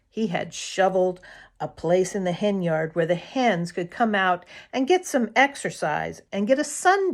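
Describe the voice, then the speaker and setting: A woman reading a children's story aloud in a deliberate, expressive storytelling manner. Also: lowish voice. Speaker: storybook reader.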